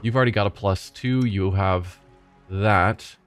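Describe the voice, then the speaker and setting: A man hosting a let's play, reading game text aloud and musing in a relaxed, chatty way. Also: lowish voice, measured pace, faint music behind. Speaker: gaming channel host.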